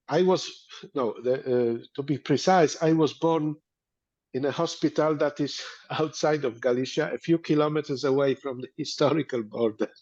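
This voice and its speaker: A man's voice: mid-range voice, a little mellow, lo-fi sound. Polish-accented man, hesitant interview talk. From Poland. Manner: hesitant, many fillers and restarts. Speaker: museum director, guest.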